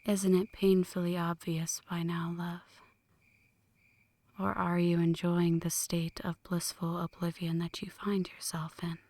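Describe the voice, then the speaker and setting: A hushed, low-pitched vampire woman, acted by a woman for an ASMR roleplay. She speaks very slowly and breathily in poetic phrases, flirty yet brooding. The sound is close and dry. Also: little pitch movement, no echo. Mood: teasing, seductive. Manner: slow, teasing, poetic. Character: flirty, brooding female vampire, seductive and melancholic. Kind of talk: ASMR roleplay, scripted dramatic monologue.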